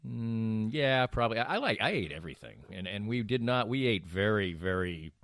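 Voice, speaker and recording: A man's voice: on the low side, very animated, normal speed, a bit bright, no echo, clean studio sound. A middle-aged man in casual banter, rambling and emphatic, often repeating himself as he goes. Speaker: radio show and podcast host.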